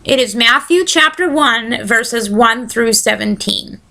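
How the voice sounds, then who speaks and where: A woman hosts a casual solo podcast, talking easily and shifting into a steady reading voice. Her voice sits a little high with a bright, forward edge, and it is slightly scratchy. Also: takes it slowly. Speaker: podcast host.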